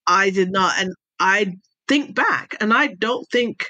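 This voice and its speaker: A woman's voice: mid-range voice, unhurried, no echo. A woman with an accent between American and British, telling stories about her past as an interview guest and laughing often over a video call. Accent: mixed American and British. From Jamaica. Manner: laughs often, animated storytelling. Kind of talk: casual conversational storytelling.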